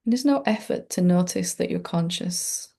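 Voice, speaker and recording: A woman meditation teacher leading a gentle, unscripted guided meditation in a soothing, informal and reassuring way. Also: on the low side, normal speed, gravelly, slightly rough.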